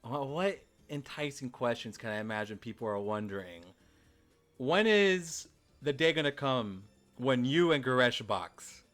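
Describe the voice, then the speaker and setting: A man's voice: medium pitch, animated, measured pace, a bit bright, soft background music. A young man hosting a podcast, chatting casually with an enthusiastic, laid-back manner. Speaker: podcast host.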